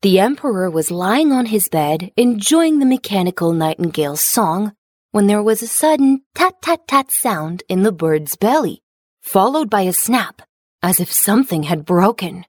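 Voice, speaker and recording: A woman's voice: mid-range voice, very animated, moderate pace, no room sound. Woman narrating a fairy tale, close and dry. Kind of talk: scripted children's storybook narration.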